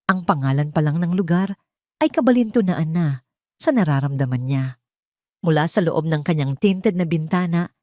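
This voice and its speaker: A Filipino woman narrates a scripted drama. Her voice sits slightly low and moves through lively, wide intonation. The sound is dry and close, with no room on it. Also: dry recording. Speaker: narrator of audio drama stories.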